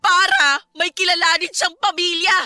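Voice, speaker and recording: A woman's voice: very high voice, steady tone, moderate pace, music in the background. A woman playing a hurt, defiant young wife and mother in an emotional, pleading Filipino radio drama. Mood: angry, defiant.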